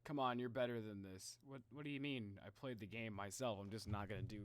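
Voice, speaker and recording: Casual male streamer, halting, close dry sound. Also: lowish voice, clean tone, no room sound. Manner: informal, halting, talks to viewers, mutters to himself. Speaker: live streamer reacting to game videos. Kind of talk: casual livestream chat.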